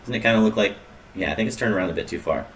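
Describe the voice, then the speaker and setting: A man's voice: on the low side, rapid-fire, fairly bright tone, far from the mic. A man casually commentating on a game he is playing, rambling and joking, with lots of ums and uhs. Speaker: let's play host.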